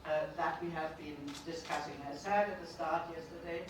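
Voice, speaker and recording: A middle-aged woman speaking loudly at a policy conference, pausing often with fillers and restarts. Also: low-pitched, steady tone. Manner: hesitant, many fillers and restarts; loud projecting voice. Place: large conference hall. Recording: echoey hall from far off, noisy.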